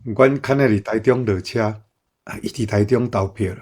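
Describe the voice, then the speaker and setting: A man's voice: on the low side, moderate pace, a little mellow, close to an everyday voice. An actor playing an elderly man with a Taiwanese accent, shyly making small talk in a radio drama. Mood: hesitant, polite.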